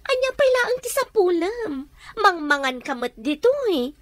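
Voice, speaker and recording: A domineering Filipino woman with an Ilocano accent in a radio drama. Her voice sits high and leaps around in pitch. She is sharp, scornful and used to giving orders. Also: big pitch swings, normal speed. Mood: irritated, mocking. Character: domineering, scheming woman holding a younger woman captive.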